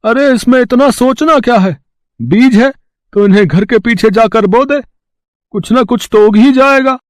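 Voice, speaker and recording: A man's voice: extremely high pitch, measured pace, no room sound, clean studio sound. A man playing a poor, caring labourer husband in a story, earnest and plain-spoken. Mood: practical.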